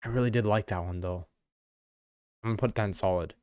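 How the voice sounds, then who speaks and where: A man chatting casually as he reacts to game music, excitable and thinking aloud as he ranks tracks. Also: on the low side, fast talker, no room sound, studio quality. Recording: music playing in background.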